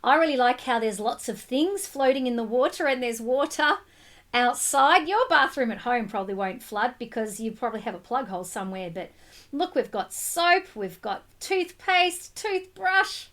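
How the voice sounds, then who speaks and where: Woman, children's storybook reader. High-pitched, very animated, explains things simply and directly to young listeners. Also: moderate pace. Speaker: teacher reading a picture book aloud to children. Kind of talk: animated storybook read-aloud with asides to children.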